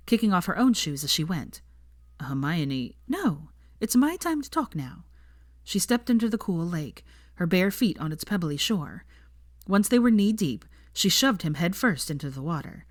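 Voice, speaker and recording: Woman reading a story aloud, lowish voice, dry close sound. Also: expressive, normal speed, dry recording. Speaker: fan fiction reader. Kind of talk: story narration.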